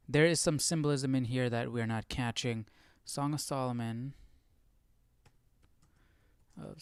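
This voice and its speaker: A man's voice: medium pitch, creaky, clean tone, no echo. A man hosting a casual live study session, thinking aloud in a tentative, questioning way. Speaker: livestream Bible study host.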